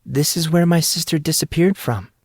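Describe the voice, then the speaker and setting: A man voicing Kylie, a soft-spoken, saddened young woman. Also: on the high side, moderate pace, no room sound, clean studio sound, pitched above the performer's own voice. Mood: sad. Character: young woman, shy, sad, earnest. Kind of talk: audiobook character reading.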